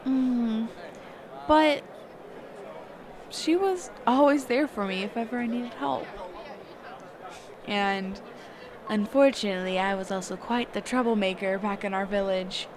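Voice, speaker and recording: A woman playing a sheltered, curious mage teacher in a conversational roleplay audio drama, halting and often correcting herself. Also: a little high, a crowd in the background, natural voice. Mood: fond, wistful. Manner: halting, hesitant, trailing off and self-correcting.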